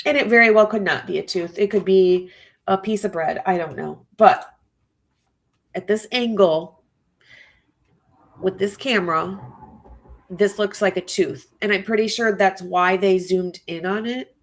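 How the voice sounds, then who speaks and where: A woman's voice: medium pitch, measured pace, long pauses. A woman hosting a true crime livestream, musing aloud to her viewers with a stuffy nose. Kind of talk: casual live-stream commentary.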